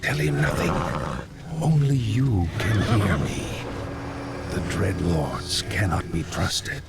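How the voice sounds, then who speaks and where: A man playing a cautious, conspiratorial ghostly necromancer in a fantasy game, gravelly, grave and ominous. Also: sits low, big pitch swings, takes it slowly, heavy background noise, heavily acted. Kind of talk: dramatic video game acting. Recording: loud music under voice.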